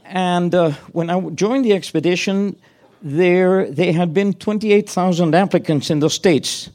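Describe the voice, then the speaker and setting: A man's voice: high voice, unhurried, darkish tone. An older man from Chile whose first language is Spanish, telling a rambling personal story on stage, chuckling and restarting his sentences.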